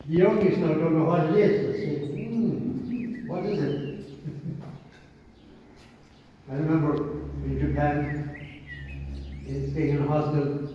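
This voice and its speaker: Older Irish priest in an echoey hall. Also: male voice, a little high, warm tone, a little hiss or hum. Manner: conversational, storytelling with asides and quotes. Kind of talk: informal talk to a group. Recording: echoey hall, distant mic, dull sound.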